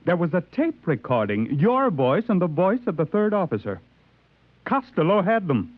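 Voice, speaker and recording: Nervous, haunted little consul, animated. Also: male voice, high voice, normal speed, no echo. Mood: panicked, accusing. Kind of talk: 1950s radio drama acting. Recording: tinny, dry old radio recording.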